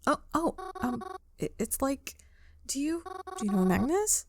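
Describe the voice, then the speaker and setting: A woman's voice: high voice, big pitch swings, normal speed, background noise, pitched above the performer's own voice. A woman voicing a shy, bookish, easily flustered young woman in a visual novel game, hesitant and stammering. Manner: hesitant, soft-hearted, stammering.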